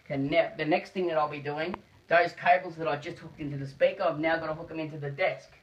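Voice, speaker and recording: A man giving a step-by-step practical demonstration in a deliberate, halting way, repeating his phrases, picked up by a phone camera in a small room. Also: fairly high pitch, steady tone, slight background noise. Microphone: phone camera held nearby. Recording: muffled phone video sound.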